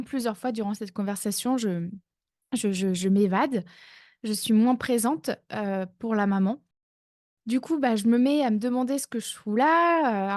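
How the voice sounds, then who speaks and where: A woman in her thirties talks through her own coaching podcast in a slightly high voice. She chats casually, with fillers and self-mocking asides. The sound is close and dry. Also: darkish tone, no echo. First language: not English. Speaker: life coach, podcast host.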